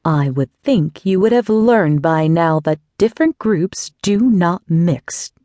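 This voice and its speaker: A woman voicing a haughty, domineering older aunt who speaks slowly with scorn. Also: on the low side, expressive, warm tone, dry recording. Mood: lecturing. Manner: slow, disdainful, seething.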